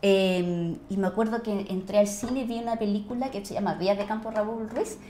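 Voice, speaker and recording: A woman's voice: medium pitch, steady tone, a little fast, mellow. A Chilean actress chatting casually as a podcast guest, effusive and emphatic as she tells stories. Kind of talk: casual storytelling chat. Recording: echoey room.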